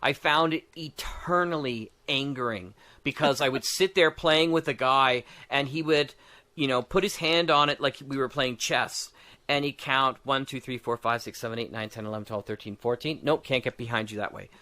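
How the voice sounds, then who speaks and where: Bright-voiced man chatting animatedly. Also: mid-range voice, normal speed. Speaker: guest, audio drama creator designing a tabletop game. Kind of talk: casual podcast chat.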